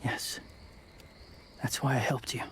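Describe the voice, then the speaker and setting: An actor playing a quiet, guarded young male wanderer who speaks in terse, subdued fragments in a video game drama. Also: takes it slowly, a little hiss or hum. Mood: grave, calm.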